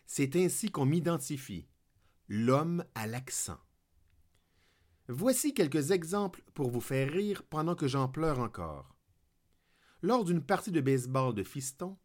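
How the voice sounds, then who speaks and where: A man's voice: a little high, darkish tone. A man from Quebec with a Québécois French accent, hosting a podcast and reading a scripted humorous monologue full of declamatory wordplay. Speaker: podcast host.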